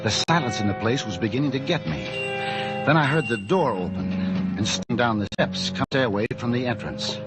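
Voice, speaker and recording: A man playing a restless, overworked office executive, expressive and somewhat hesitant, as lead and narrator of an old-time radio drama. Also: mid-range voice, a little fast, natural voice, low-quality recording. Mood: restless, reflective. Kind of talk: 1940s-50s radio drama acting and narration. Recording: vintage radio drama, loud music.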